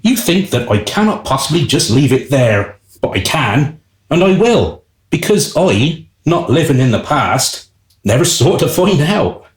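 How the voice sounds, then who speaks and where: Man acting a wry, animated storyteller, echoey. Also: a little high. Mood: wry, reflective. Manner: storytelling, arch, deliberate. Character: wry, self-serving male servant narrating his tale. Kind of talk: scripted radio play narration.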